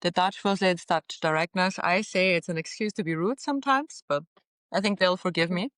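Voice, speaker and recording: Casting: young woman, Austrian German accent, smooth clear tone, close dry sound, thoughtful casual guest. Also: lowish voice, a little fast, dry recording. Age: young adult. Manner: reflective, frequent "like" and soft laughs. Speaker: podcast guest. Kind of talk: casual interview chat. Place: apartment on a high floor.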